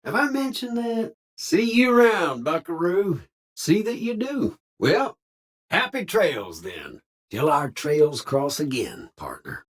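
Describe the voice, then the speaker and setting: Folksy husky cowboy robot. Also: male voice, a little high, wildly swooping pitch, slow, exaggerated voice. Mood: friendly, evasive. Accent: American Southern cowboy drawl. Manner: folksy slang, theatrical swooping delivery. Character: folksy, jovial cowboy robot drifter, friendly but slyly evasive. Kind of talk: video game character dialogue.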